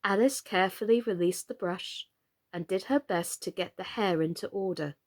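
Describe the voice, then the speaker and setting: A woman reading a children's story as an expressive narrator. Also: medium pitch, normal speed. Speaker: storyteller and reader.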